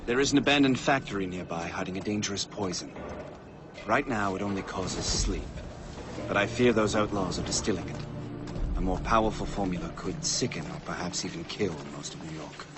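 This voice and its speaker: An actor playing a grave, formal officer in a video game cutscene, speaking with a formal delivery as if reading a letter. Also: male voice, deep voice, brisk, over music, lightly acted.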